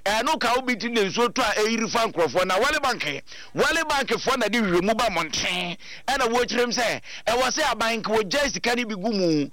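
A man with a Ghanaian accent presenting radio news commentary in a lively, emphatic way. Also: high-pitched, no echo.

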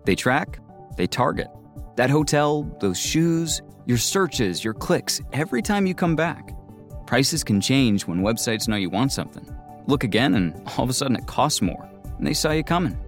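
A man's voice: medium pitch, big pitch swings, normal speed. A man reading a scripted commercial in short, punchy phrases. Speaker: ad narrator. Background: faint music.

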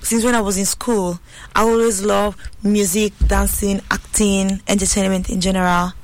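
A woman's voice: medium pitch, little pitch movement, moderate pace. A woman with Italian as her first language and a Nigerian-tinged English accent, a guest musician chatting playfully and confidently in an interview, laughing often. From Italy. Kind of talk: casual interview conversation.

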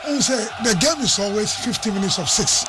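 A man's voice: high voice, expressive, brisk, noisy background. A man with a Ghanaian accent giving football analysis on radio, explaining tactics while mixing Twi and English. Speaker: football pundit.

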